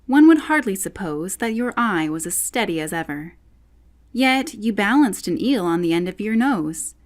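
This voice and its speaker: A woman's voice: mid-range voice, lively intonation, normal speed, lightly acted. A woman voicing a cheeky, inquisitive young man who recites storybook verse in a singsong manner. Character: cheeky, inquisitive youth questioning his father.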